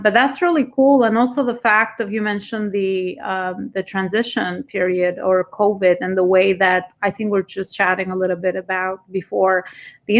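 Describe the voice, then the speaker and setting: Female podcast host, fry in the voice, loose conversational delivery. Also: mid-range voice, fairly bright tone. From immigrant, came at fifteen. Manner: rambling, encouraging, many fillers. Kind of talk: casual interview chat.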